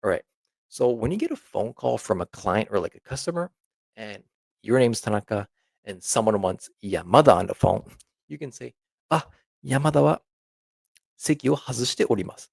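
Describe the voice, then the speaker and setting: A man's voice: medium pitch, lively intonation, moderate pace, vocal fry, long pauses, a little mellow, slightly rough, no room sound. A man teaching an informal language lesson, explaining example phrases conversationally and often checking in with the listener.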